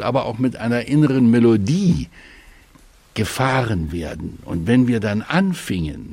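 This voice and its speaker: A man of medium pitch explains and recalls how he made radio. His intonation moves widely and animatedly, and the sound is very dry. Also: a little mellow, no room sound. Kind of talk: explanatory recollection.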